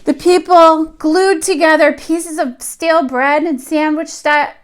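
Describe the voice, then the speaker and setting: A woman reading aloud to young children in an expressive storytelling style, with dramatic emphasis. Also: sits high, unhurried. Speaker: children's story reader, teacher.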